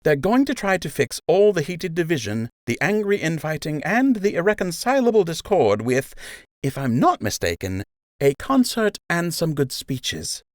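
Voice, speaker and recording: A man voicing a brisk, wry young female rabbit healer in an audiobook, reassuring and drily sarcastic. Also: on the high side, very animated, dry recording, studio quality, voice pushed up.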